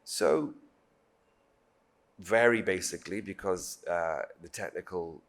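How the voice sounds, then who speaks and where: Deep-voiced British man speaking formally. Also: gravelly. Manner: measured, diplomatic, emphatic repetition. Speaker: government official on a panel. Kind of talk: formal panel remarks.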